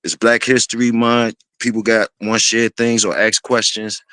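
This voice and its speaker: A man in his fifties hosting a casual talk, speaking in a laid-back, rambling way with many fillers and restarts, often clearing his throat. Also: lowish voice, little pitch movement, normal speed, a bit bright, dry recording. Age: 50s. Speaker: host.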